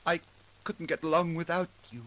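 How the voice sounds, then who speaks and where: An actor playing a frightened, weak-willed musician in a 1940s radio drama, halting, trembling and nervously pleading. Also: male voice, fairly high pitch, fairly bright tone, natural voice. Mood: fearful submission. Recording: hissy, clicking 1940s radio recording.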